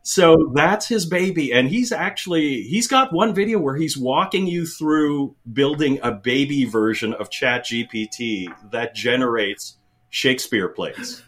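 A man's voice: medium pitch, animated, unhurried, fairly bright tone. A middle-aged man from the Philippines, a guest telling stories in a casual interview, rambling with many fillers and restarts.

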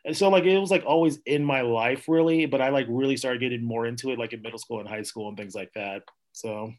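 A man's voice: medium pitch, fast talker. Chatty young man with a mid-range voice. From Minnesota, US. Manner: rambling, with many fillers and laughs. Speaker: podcast guest, actor and playwright. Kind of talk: casual interview chat.

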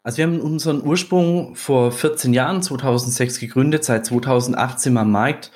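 Swabian-born guy on a podcast, easygoing, jokes around, mid-pitch voice. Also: a little fast. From Swabia, Germany. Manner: relaxed and joking, then fluent in explaining products. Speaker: podcast guest, regional business manager. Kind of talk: casual podcast interview.

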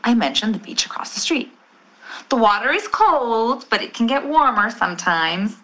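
An actress playing a chatty, salesy real estate agent in an audio drama, with a persuasive, wry manner. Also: female voice, on the high side, animated, normal speed, pushed and bright, poor audio. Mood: salesy, breezy.

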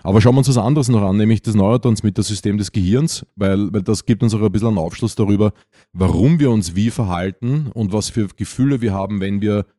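Austrian guy on a podcast, mellow dark voice, explains stuff loosely and keeps restarting. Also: on the low side, fast, dry recording, clean studio sound. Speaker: podcast co-host. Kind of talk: informal explanatory talk on a podcast.

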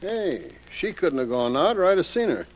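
An actor playing a brisk, wry male theater stage manager in an old radio drama. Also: fairly high pitch, very animated, heavy background noise. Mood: brisk, businesslike. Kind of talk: 1940s radio acting.

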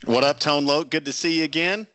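A man trades casual sports banter as a podcast co-host, joking and slangy. His voice is bright and a touch high, with a dry, close sound. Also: brisk, no echo.

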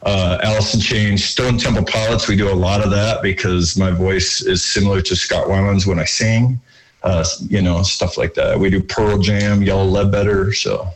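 Rock band singer guy, kinda low voice, rambles w lots of uhs. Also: fairly even intonation, normal speed, poor audio. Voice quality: lower voice. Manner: rambling, chatty, many fillers. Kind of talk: casual interview answers.

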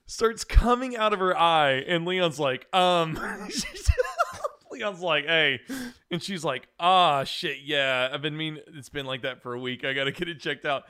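Bright, higher-voiced man chatting casually. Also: unhurried, clear, resonant tone. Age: 30s. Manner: animated, profane, mock-quoting storytelling. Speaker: podcast host. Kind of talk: casual comedic chat.

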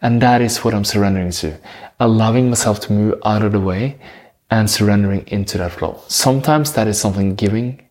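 A man from Norway with a Norwegian accent, talking slowly about spirituality and surrender, pausing and correcting himself as he searches for words. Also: on the low side, darkish tone. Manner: slow, searching, with many pauses and self-corrections. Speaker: spiritual speaker. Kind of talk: reflective spiritual talk.

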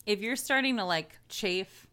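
A woman's voice: medium pitch, a little slow, sharp tone, smooth. A woman co-hosting a casual chat podcast, teasing and crude in her jokes. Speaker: podcast co-host.

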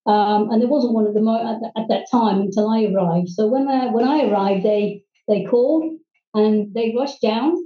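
A middle-aged woman from Hong Kong, telling her life story as a guest, earnestly and haltingly, with many ums and restarts. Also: a little high. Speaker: guest, private English teacher. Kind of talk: personal storytelling in interview. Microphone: video call. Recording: distant, roomy, dull video-call audio.

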